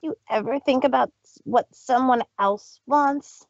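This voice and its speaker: A woman's voice: high voice, measured pace, gravelly, dry recording, dull audio, heavily acted. A woman voicing a small male baby dragon, snarling and accusing, in a fan reading. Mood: angry.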